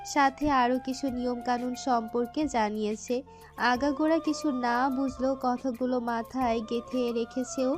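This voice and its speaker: A woman narrating a scripted romantic story in an expressive, literary storytelling manner. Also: on the high side. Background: music.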